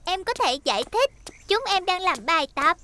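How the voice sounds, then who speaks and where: An actor voicing a bright, cheerful little child in a cartoon dub. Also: very high voice, sing-song, normal speed, background noise. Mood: chatty. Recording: music behind the voice.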